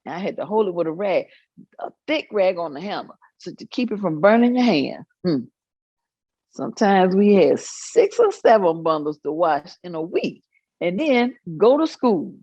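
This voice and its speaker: A woman's voice: on the low side, sing-song, moderate pace, mellow, slightly rough, no echo, highs cut off. An actress performing an elderly church-going woman's recollections in a staged reading, folksy and full of small asides. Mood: weary, reflective. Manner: folksy storytelling with hummed asides and chuckles.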